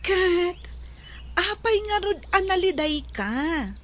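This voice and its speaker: High, animated young best friend. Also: female voice, takes it slowly, a little hiss or hum, exaggerated voice. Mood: curious. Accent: Ilocano. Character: concerned, reassuring young female best friend. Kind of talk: Ilocano radio drama acting.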